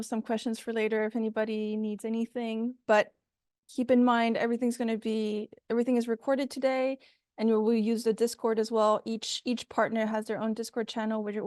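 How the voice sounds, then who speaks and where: A woman's voice: fairly high pitch, a little mellow, no echo, clean studio sound. A woman informally hosting an online meeting and handing over to speakers. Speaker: host of online event.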